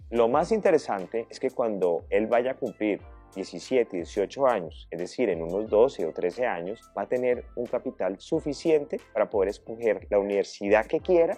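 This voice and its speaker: A man's voice: lowish voice, dark tone, no echo. A man, a financial advisor in his thirties, coaching the viewer in a direct, earnest way. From Colombia. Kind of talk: direct-to-camera advice talk.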